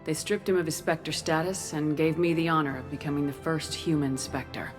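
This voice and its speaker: A low-pitched female military commander played by a woman, resolute and insistent. She speaks bluntly and firmly in video game style, with clear music running underneath. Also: fairly even intonation, normal speed, lightly acted. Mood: grave, measured. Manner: firm, insistent. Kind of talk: video game acting and narration. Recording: game cinematic music behind voice.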